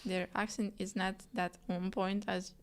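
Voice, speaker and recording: A woman's voice: mid-range voice, level tone, a little slow, smooth. Encouraging woman hosting a podcast. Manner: encouraging, with frequent backchannel murmurs. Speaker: podcast host. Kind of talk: casual conversational interview.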